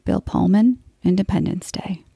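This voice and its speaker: Blunt, frank woman podcaster with a dark voice. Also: mid-range voice, normal speed, gravelly, slightly rough, no room sound, clean studio sound. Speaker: podcast host. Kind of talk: scripted podcast monologue.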